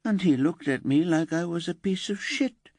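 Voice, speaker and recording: A man puts on a high, whiny teenage girl, indignant and sulky, her voice swinging up and down. Dry, close sound with no room around it. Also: animated, normal speed, darkish tone, no echo. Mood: resentful. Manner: whiny and indignant, rising to wailing.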